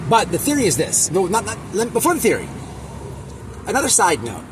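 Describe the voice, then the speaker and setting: Male online commentator, high voice, emphatic and repetitive casual rant, noisy ambient recording. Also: huge pitch swings. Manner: repetitive, halting, emphatic, crude slang. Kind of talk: casual opinionated reaction rant.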